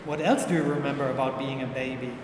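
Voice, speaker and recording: A man reading the interviewer's questions from a script in an even, questioning way. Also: mid-range voice, fast. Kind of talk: reading interviewer lines aloud. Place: gallery room, indoors. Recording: echoey gallery room with noise.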